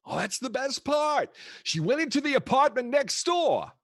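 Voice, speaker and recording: An actor playing a kooky old grandfather who tells rambling, theatrical, halting stories to his grandchildren in a comedic audio play. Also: extremely high pitch, sing-song, no echo, studio quality, full character voice. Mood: wistful. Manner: theatrical, wistful, halting storyteller.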